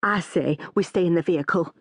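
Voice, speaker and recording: A woman's voice: fairly low pitch, lively intonation, fairly quick, a little mellow, husky. A woman voicing an edgy, melodramatic middle-aged woman for an audiobook, with an anguished, moaning manner. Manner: gulping, moaning, dramatic.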